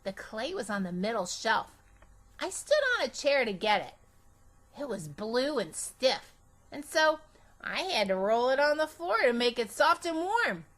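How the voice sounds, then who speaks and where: An imaginative, chatty little girl tells her own storybook tale, acted out by a woman. Her voice is high and very animated, with slow, emphatic, childish phrasing and a bossy streak. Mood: chatty, pleased.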